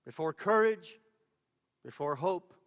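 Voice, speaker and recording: A man voicing a calm, comforting divine spirit, faint and solemn, in a spoken spiritual story. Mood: solemn, assuring. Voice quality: hushed, low, faint. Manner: slow, solemn, measured. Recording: echoey hall with long reverb.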